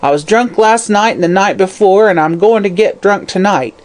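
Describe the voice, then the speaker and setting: A croaking, drunken old hag played by a woman reader snarls and curses. Her voice is pushed high and harsh, and it turns sharp and loud when she threatens. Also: animated, a little slow, lightly acted. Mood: snarling. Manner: snarling, cursing.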